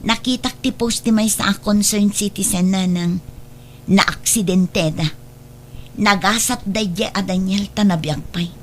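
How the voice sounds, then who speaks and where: An actress plays a middle-aged mother in an Ilocano radio drama, earnest, protective and firm. Her pitch is medium, and there is slight noise in the recording. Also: lightly acted. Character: wronged protective mother, firm, hurt and resolute.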